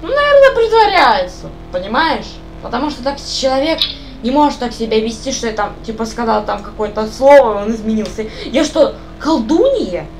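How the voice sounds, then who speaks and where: A woman's voice: a little high, sing-song, normal speed, soft background music. A young woman chatting casually on voice chat while playing a game, rambling and asking the same questions over and over. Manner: rambling, asks repeated questions. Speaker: gamer chatting on voice call.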